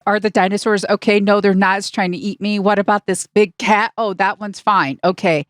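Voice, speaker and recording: A woman's voice: mid-range voice, steady tone, moderate pace, dry recording, studio quality. A woman co-hosting a podcast, giving wry, opinionated takes in a casual review chat. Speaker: podcast co-host. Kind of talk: casual review discussion of a cartoon.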